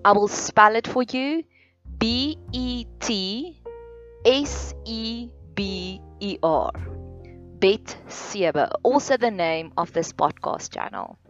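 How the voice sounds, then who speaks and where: A woman from South Africa with an Afrikaans accent, hosting a solo podcast in a slow, earnest, deliberate way with many pauses. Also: mid-range voice. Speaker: podcast host. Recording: dull lo-fi sound with faint music.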